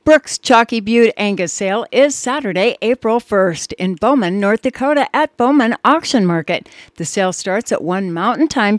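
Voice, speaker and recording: A woman's voice: medium pitch, no room sound, clean studio sound. A woman co-hosting a radio show, chatting and reading ads in an upbeat way with bursts of emphasis. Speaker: radio co-host.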